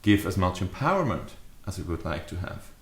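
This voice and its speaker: A man in his fifties giving a reflective, explanatory talk to camera as a leadership adviser, with measured, deliberate phrasing. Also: low-pitched, big pitch swings. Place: small furnished study or living room, indoors. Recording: echoey living room.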